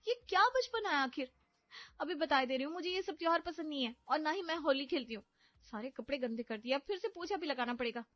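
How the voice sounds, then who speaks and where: A woman's voice: high voice, brisk, no room sound, muffled recording, voice pushed up, close to an everyday voice. A woman voicing a cranky young bride who hates festivals, in a snappish, complaining manner. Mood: angry. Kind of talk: cartoon storybook voice acting.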